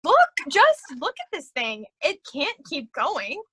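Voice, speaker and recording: A woman's voice: extremely high pitch, huge pitch swings, takes it slowly, fairly bright tone, no echo, exaggerated voice. An actress in a staged reading playing an aggrieved, suspicious woman, insistent and argumentative. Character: aggrieved, suspicious woman accusing a scheme of cheating her.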